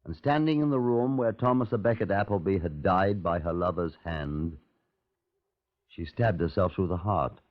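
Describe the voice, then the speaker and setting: A dull vintage radio recording carries a male actor as a sober crime-file narrator. His voice is on the low side, and he tells the case formally and gravely in measured phrases. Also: lightly acted. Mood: solemn. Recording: muffled old radio broadcast recording.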